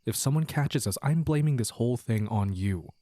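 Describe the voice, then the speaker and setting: A man playing a playful, teasing young man in an intimate romantic audio roleplay, with halting banter and mock-dramatic asides. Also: medium pitch, lively intonation, dark tone, no echo, close to an everyday voice. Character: playful, teasing young man sneaking out with his childhood sweetheart.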